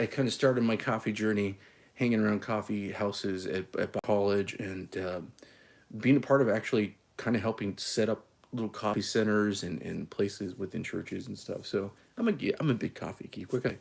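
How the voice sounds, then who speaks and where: A man's voice: fairly low pitch, gravelly. A man from California hosting a casual coffee live stream, easygoing and folksy, with many fillers and restarts. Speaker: coffee channel host.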